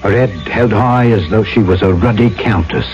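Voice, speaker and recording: A man's voice: fairly low pitch, normal speed, natural voice. An actor playing a plainspoken, wry trader who narrates his tale in a radio drama. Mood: reflective. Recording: dull old radio recording. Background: music.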